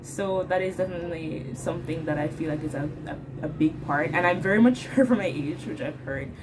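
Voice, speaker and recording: A woman's voice: on the low side, a little fast, background noise. An eighteen-year-old Jamaican woman with a Jamaican accent, talking in a chatty, bubbly way in a self-introduction video recorded on a webcam at home. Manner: bubbly, chatty, with light laughs. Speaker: work and travel applicant. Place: small room at home, indoors. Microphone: laptop webcam mic at close range.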